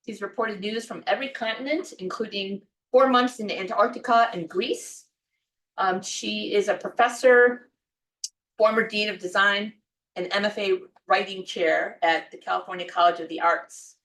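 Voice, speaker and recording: A woman's voice: medium pitch, normal speed, fairly bright tone. A woman giving the announcements and introductions at a literary event, with many ums and uhs. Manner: reads off a list, with frequent ums and uhs. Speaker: event organizer and development staff. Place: event room at a book club. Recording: echoey room from far off.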